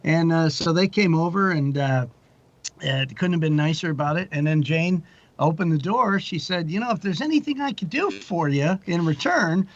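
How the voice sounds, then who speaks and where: A man's voice: on the high side, lively intonation, moderate pace. A middle-aged man chatting casually as an interview guest, telling anecdotes and voicing the dialogue in them. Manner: tells stories by acting out quoted dialogue. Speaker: podcast guest, audio drama producer. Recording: dull, lo-fi call audio.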